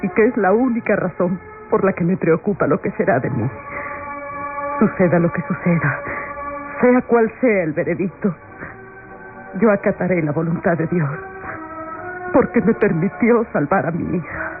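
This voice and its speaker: A woman's voice: lowish voice, lively intonation, moderate pace, long pauses, over music, lightly acted. An actress playing a long-suffering, self-sacrificing mother on trial in an old radionovela, solemn and melodramatic. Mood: anguished, resolute. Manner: solemn, melodramatic, declaiming in short phrases. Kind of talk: old-fashioned Latin American radionovela acting. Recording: dull lo-fi old radio recording.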